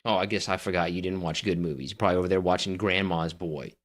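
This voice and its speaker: A man's voice: deep voice, steady tone, fast. Man, podcast co-host, casual movie chat, needling, emphatic, opinionated. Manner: teasing, needling his co-host. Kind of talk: casual banter about movies.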